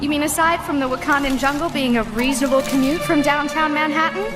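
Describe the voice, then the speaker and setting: A woman playing a quick-witted young superheroine in a video game, wry and brisk. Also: high-pitched, loud music behind the voice, close to an everyday voice. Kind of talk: video game cutscene acting.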